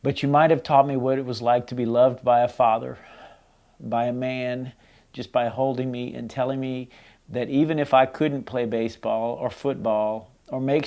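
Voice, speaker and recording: Middle-aged man, creaky voice, soft dark tone, personal letter reading. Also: medium pitch. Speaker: son reading letters and reflecting.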